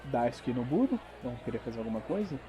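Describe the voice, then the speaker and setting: A Brazilian man working as game master, narrating a tabletop RPG audio drama with dramatic pauses and informal asides. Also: medium pitch, very animated, rough recording. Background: background music.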